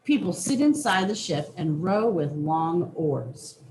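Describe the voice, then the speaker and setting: A woman reading a children's nonfiction book aloud with deliberate, teacherly phrasing. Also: fairly low pitch, lively intonation, a little mellow, slight background noise, rough recording. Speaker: reader.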